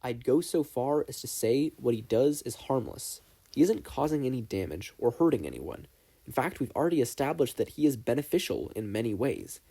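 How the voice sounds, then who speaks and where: A man voicing a stern but proud teacher in a fan fiction story, lecturing in a measured, stoic way. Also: medium pitch, expressive, vocal fry, mellow, breathy edge. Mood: stony, firm.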